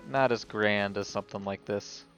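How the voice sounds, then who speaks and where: A man's voice: fairly low pitch, fairly even intonation, normal speed, fairly bright tone. A man streaming a video game, giving casual live commentary and thinking aloud as if talking to himself. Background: video game music.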